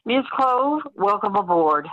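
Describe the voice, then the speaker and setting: A woman playing an upbeat, polished city mayor in a radio play, speaking in measured political speeches. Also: mid-range voice, expressive, fairly bright tone, highs cut off. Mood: earnest, rousing. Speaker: amateur actor in a community radio play.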